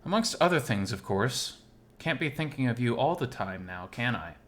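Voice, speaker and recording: A man voicing a lovesick, gently self-mocking male animal character in first-person visual novel narration, intimate and wistful. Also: mid-range voice, big pitch swings, normal speed. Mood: tender, wistful.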